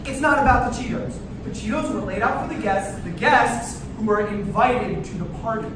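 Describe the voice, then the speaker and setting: Guy acting a wounded sarcastic young birthday dude, high voice, super echoey room. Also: normal speed. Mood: fed up. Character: exasperated 25-year-old birthday man, wounded and sarcastic. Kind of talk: staged reading of a comedy play. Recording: echoey distant room recording, hissy noise.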